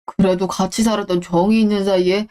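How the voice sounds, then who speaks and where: A woman's voice: mid-range voice, fairly even intonation, brisk, warm tone, pitched below the performer's own voice, natural voice. A woman voicing an arrogant, shameless middle-aged ex-husband in a radio drama, sneering and blaming as he talks. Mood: threatening. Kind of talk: Korean radio drama reading.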